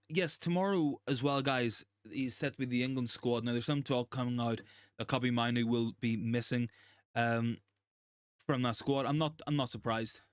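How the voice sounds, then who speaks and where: A young man, hoarse and gravelly from a recent virus, hosting a casual live chat and reading out viewer comments, with many ums and repeated phrases. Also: mid-range voice, dry recording, studio quality. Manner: repeats himself, frequent ums and restarts. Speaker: livestream host.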